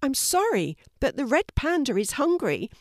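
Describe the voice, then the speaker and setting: A woman's voice: sits high, sing-song, moderate pace, no echo, studio quality, pitched above the performer's own voice. A woman voicing a kind, helpful and slightly hesitant young girl in a children's storybook. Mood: hesitant, apologetic. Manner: hesitant, apologetic.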